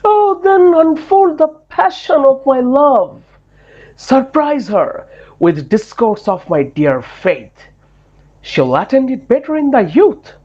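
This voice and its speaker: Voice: high-pitched, very animated, slow, a little mellow, highs cut off, exaggerated voice. A performer playing a lovesick, grandly romantic duke in a theatrical Shakespearean dramatic reading, with declamatory, swooning delivery. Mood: eager, confiding.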